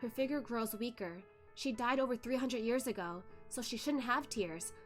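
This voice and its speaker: A high-pitched female narrator reads a novel aloud with dramatic, careful expression. Faint music sits underneath her storytelling. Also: normal speed. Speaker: web novel read-along host.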